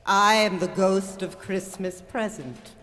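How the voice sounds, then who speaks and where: A woman's voice: mid-range voice, takes it slowly, fairly bright tone. A woman playing a jolly giant Christmas spirit with a grand, commanding delivery in a live radio drama. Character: jolly booming giant Ghost of Christmas Present. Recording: reverberant hall sound.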